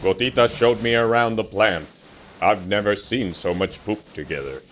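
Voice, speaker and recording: A man voicing a dry, blunt puppet TV reporter in a formal, deadpan mock-news style. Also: fairly low pitch, normal speed, a little hiss or hum, lightly acted. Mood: deadpan, inquisitive.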